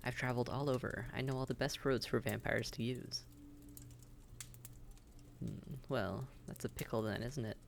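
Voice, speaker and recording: A man's voice: mid-range voice, steady tone, normal speed, creaky, pauses often, a little hiss or hum, lightly acted. A man playing a friendly, worldly vampire innkeeper who chats and gives reassuring advice straight to the listener in a roleplay audio drama. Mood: friendly, teasing. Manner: chatty, reassuring, advising.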